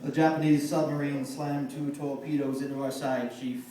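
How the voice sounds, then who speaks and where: A man performing a dramatic monologue as a grim, haunted sailor who survived a wartime shipwreck, telling it in measured, folksy, clipped phrases. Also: fairly high pitch, flat delivery, crowd noise, close to an everyday voice. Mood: grave, recounting. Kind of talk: dramatic film monologue performed live from memory. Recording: echoey room, picked up from far off.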